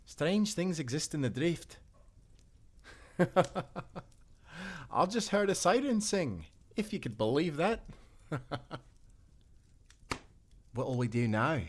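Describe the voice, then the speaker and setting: A man's voice: on the high side, sing-song, takes it slowly, pauses often, breathy edge, exaggerated voice. Earnest Scottish sailor castaway acted by a man. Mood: weary, thoughtful. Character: wounded, loyal young sailor castaway, tender and brave. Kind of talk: cinematic pirate audio roleplay acting.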